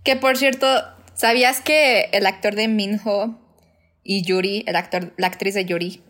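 A young woman with a Mexican Spanish accent, co-hosting a podcast in casual chat, chiming in often with quick agreeing interjections. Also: medium pitch. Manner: quick affirming interjections, relaxed conversational back-and-forth. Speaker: podcast co-host.

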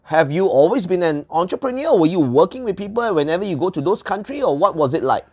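A man's voice: high-pitched, big pitch swings, fast talker, darkish tone, slightly rough. A man from Malaysia, hosting a talk show interview and steering it with upbeat, leading questions.

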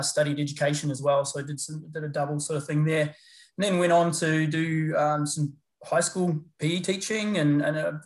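A middle-aged man with a New Zealand accent, chatting as an interview guest in a relaxed, rambling way full of fillers and restarts. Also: on the high side. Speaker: guest, paramedicine lecturer. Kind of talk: casual interview chat. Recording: roomy echo.

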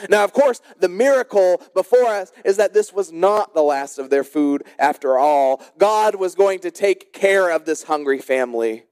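A man delivers a sermon in a voice pitched a bit higher than most men's. He is an emphatic storyteller, shifting between brisk narration and slow, dramatic phrasing. The sound is dry, with very little room around the voice. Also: animated, darkish tone, smooth, dry recording. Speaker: pastor preaching. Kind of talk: sermon with storytelling and scripture exposition.

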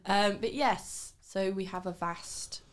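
A young woman from Yorkshire with a Northern English accent, hosting a casual podcast chat with friends, teasing and quick with asides. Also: medium pitch, steady tone, a crowd in the background. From Yorkshire, England. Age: young adult. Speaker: podcast host.

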